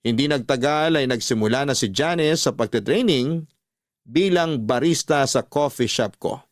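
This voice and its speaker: A man with a medium-pitched voice works as a radio storyteller. He reads a scripted first-person narrative. The sound is dry, as in a studio. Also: expressive, moderate pace, no echo.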